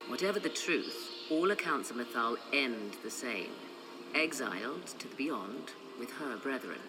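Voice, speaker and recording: A poised, haughty sorceress and scholar of ancient lore in a fantasy game. She speaks in measured, archly formal phrases, as if savoring each musing, with clear music playing behind her. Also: a bass voice, lively intonation, poor audio. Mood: solemn. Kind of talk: video game dialogue acting.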